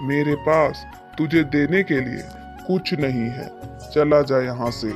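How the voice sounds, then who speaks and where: Stern, slow-talking village moneylender. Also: male voice, on the high side. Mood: scornful, dismissive. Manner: scolding, dismissive. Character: stern, grudging rich village moneylender and landowner, male. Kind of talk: moral-story cartoon dubbing. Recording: music behind the voice. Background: background music.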